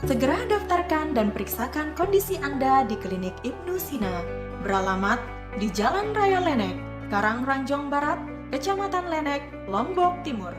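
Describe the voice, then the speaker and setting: A woman's voice: high voice, big pitch swings, moderate pace. A woman reading scripted promotional narration as a voice-over announcer, in an upbeat advertising delivery. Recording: echoey voice-over over loud music. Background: loud music.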